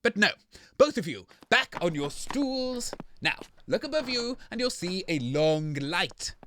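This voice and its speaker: A man's voice: high-pitched, big pitch swings, measured pace, pushed and bright, a little hiss or hum, exaggerated voice. A man playing a brash, bossy radio host refereeing in a comedy show. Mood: officious. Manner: bossy, commentating.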